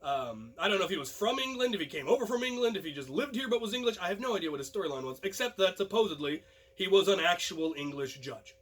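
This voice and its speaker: Guy telling a casual story, pretty high voice, bright and forward, kinda theatrical. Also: very animated, fast talker. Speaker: storyteller, host of a story series.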